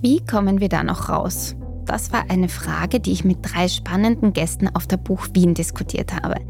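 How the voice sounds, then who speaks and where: Woman, podcast host, calm measured read, soft music under it. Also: lowish voice, gravelly. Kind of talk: scripted podcast intro.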